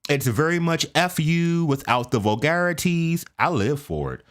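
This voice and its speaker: A man's voice: a little high, animated, moderate pace. An American man hosting a reaction video, talking casually to his viewers with many fillers and restarts and often checking that they follow him. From United States. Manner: chatty, lots of fillers and restarts, asks viewers for agreement. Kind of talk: casual commentary to camera.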